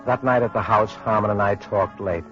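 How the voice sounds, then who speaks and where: An actor playing a weary, grave male survivor of atomic war, narrating a radio drama in a sombre, measured way. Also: lowish voice, natural voice. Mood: sombre, reflective. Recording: muffled old radio recording, music behind.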